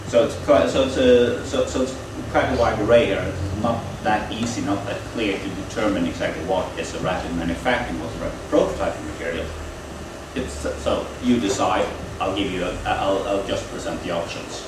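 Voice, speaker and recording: A man giving a technical lecture at a training event, explaining in a halting way with frequent pauses. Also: fairly low pitch. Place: lecture room at a university. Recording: echoey room, distant mic, air-conditioning hum. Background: air conditioning hum.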